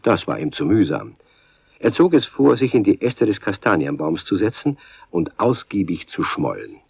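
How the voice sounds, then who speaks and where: Deep, husky male storyteller. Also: sing-song, normal speed, darkish tone, no echo. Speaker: narrator. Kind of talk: scripted storybook narration for 1970s radio play.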